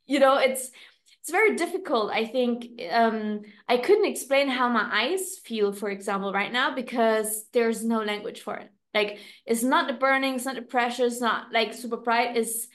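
Woman, German accent, non-native English, podcast co-host, halting reflective chat, slightly high. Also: fairly bright tone. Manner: halting, searching for words, many restarts.

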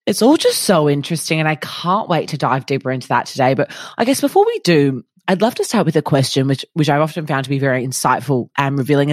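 A woman's voice: deep voice, dry recording. Enthusiastic woman podcast host, dry sound. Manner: enthusiastic, effusive praise. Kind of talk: podcast host intro and interview.

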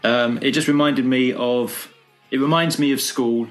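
Man from britain, nostalgic, halting, rambling, casual chat. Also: medium pitch, fairly even intonation, faint music behind. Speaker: podcast guest.

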